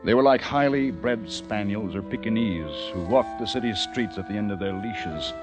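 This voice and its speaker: An actor playing a haunted, educated young American survivor, narrating intensely in the theatrical style of old-time radio drama. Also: male voice, lowish voice, moderate pace, natural voice. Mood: grim, brooding. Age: young adult. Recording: vintage radio recording with music behind.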